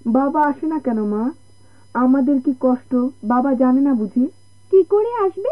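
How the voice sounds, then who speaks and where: High, plaintive young child. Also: female voice, animated, measured pace. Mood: sad, questioning. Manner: plaintive, innocent questioning. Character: innocent, worried young child of a missing sailor. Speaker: radio drama actor. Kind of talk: Bengali radio drama acting. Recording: tinny old radio, music behind.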